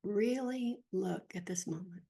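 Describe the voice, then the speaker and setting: A woman leading a guided meditation as a teacher, speaking gently and softly in a breathy, husky voice with long pauses. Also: mid-range voice. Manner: slow, gentle, soft-spoken with long pauses.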